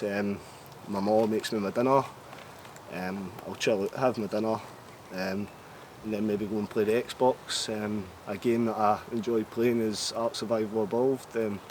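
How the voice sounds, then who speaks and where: Relaxed young man, lower voice. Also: moderate pace, slight background noise. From Scotland. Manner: relaxed, hesitant, many ums. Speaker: call centre worker describing his day. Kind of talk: casual monologue.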